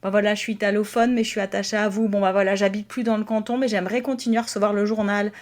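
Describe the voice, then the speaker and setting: A woman's voice: mid-range voice, flat delivery, rapid-fire. Hesitant woman pastor co-hosting. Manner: hesitant, lots of fillers, warm laughter. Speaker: pastor and podcast co-host. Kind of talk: casual conversation.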